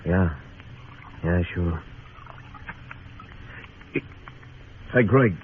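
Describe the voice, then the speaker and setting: An actor playing a tough, laconic frontier marshal in a radio western, speaking and narrating in a terse, deadpan way. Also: male voice, deep voice, slow pace, a little hiss or hum. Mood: dry, wry. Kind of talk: old-time radio western acting and narration. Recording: tinny old radio recording with music.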